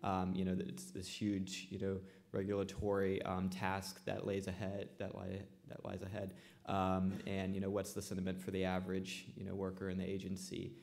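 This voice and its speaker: A man's voice: deep voice, monotone, normal speed, creaky, a little mellow. A man in his early twenties, a student asking an informal question from the audience in a hesitant, filler-heavy way. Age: early 20s.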